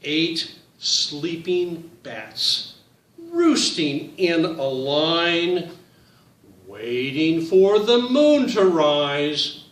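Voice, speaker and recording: A man reading his picture book aloud to children, in a slow, sing-song storytime voice that speaks to them directly. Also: fairly high pitch, sing-song, reverberant, far from the mic.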